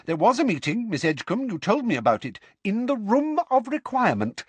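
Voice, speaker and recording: A man voicing a simpering, falsely sweet middle-aged female official in a high, coaxing tone for an audiobook. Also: wildly swooping pitch, measured pace, dry recording, heavily acted. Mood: sugary.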